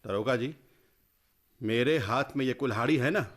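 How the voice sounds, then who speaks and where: An actor playing a bold, loyal village man in a radio play, forceful and urgent. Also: mid-range voice, moderate pace, close to an everyday voice. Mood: defiant. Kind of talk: radio drama acting.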